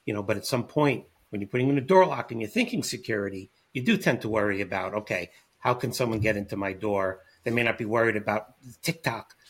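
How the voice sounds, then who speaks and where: A man's voice: on the low side, fast, hardly any pauses, breathy edge. A man who is a guest expert on a panel podcast, explaining his points in a confident way. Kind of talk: panel discussion.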